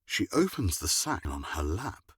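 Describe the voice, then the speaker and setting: A man's voice: sits low, big pitch swings, unhurried, fairly bright tone, slightly rough, no room sound. A man reading scripted dramatic narration for an audio drama.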